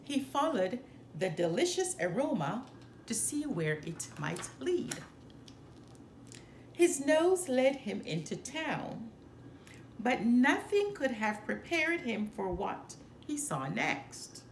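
Female storyteller for a picture-book read-aloud: higher-pitched, expressive, recorded in an echoey living room. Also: gravelly. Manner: careful, expressive reading with deliberate phrasing. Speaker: storyteller, channel host. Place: indoor living room by a fireplace. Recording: roomy echo, some background noise.

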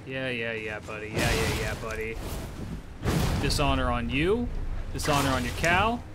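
A man's voice: medium pitch, normal speed, loud music behind the voice. A man streaming a video game live, chatting loosely with his viewers, swearing and talking back at the game. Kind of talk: live gameplay commentary. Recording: clear game noise behind.

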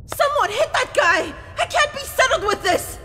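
A man voicing a panicked, hostile trapped survivor in an anime fan dub, shouting confrontationally. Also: extremely high pitch, expressive, moderate pace, full character voice. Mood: outraged. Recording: echoey hall, music behind.

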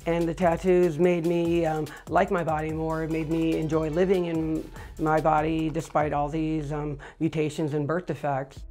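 A middle-aged man with a high voice, speaking matter-of-factly in an interview, with frequent fillers. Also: level tone, normal speed, darkish tone, faint music behind. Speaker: record holder. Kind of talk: interview monologue. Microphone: lapel.

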